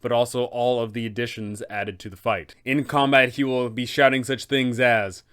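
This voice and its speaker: Smooth-voiced man giving game master advice. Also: mid-range voice, steady tone, moderate pace, a bit bright. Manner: enthusiastic, addresses viewers directly. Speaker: game master guide host. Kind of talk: scripted narration for game masters.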